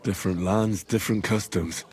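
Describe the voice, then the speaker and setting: Earnest young squire, husky voice. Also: male voice, lowish voice, steady tone, moderate pace, darkish tone. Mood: reasoning. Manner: earnest, reassuring. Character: earnest, level-headed young medieval squire, loyal and hopeful. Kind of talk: video game cutscene acting.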